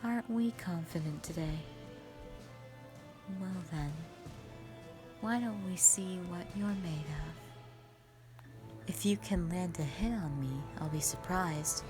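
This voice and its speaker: A woman playing a cruel, mockingly sweet spider-woman monster who taunts slowly and with menace in a fantasy audio roleplay. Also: on the low side, music in the background. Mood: mocking, confident. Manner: slow, drawn-out, condescending taunting.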